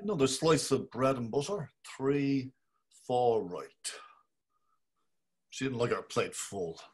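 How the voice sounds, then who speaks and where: Brief: older actor as a fussy husband thinking aloud, slow and husky, lively pitch, webcam. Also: male voice. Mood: musing. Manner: clipped muttered thoughts, fond talk to the cat. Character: fussy middle-aged husband musing to himself. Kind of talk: dramatic reading over video call. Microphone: video call webcam.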